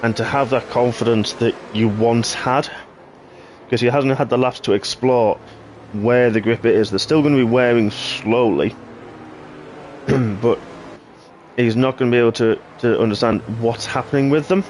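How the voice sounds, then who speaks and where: A man giving live play-by-play commentary on a sim racing league, hoarse and clearing his throat after losing his voice. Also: medium pitch, moderate pace, long pauses, slight background noise. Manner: excitable play-by-play, rising sharply at incidents. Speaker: race commentator.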